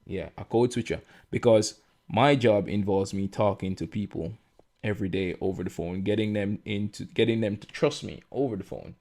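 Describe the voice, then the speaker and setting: Jamaican guy cohosting a podcast, lowish voice, easygoing chat. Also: moderate pace. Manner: relaxed, chatty, explains with everyday examples. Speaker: podcast co-host. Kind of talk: casual chat.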